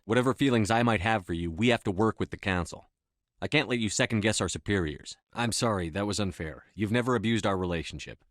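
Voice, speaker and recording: A man acting a stern, steady space-navy commander in a video game, with a measured, dry, authoritative delivery. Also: lowish voice, no room sound, natural voice.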